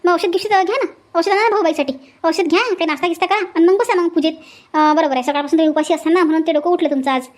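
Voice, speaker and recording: A woman's voice: very high-pitched, very fast. A woman voicing a kindly, bustling village mother in an amateur cartoon comedy, earnest and chatty, with a Varhadi Marathi accent. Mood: concerned, coaxing.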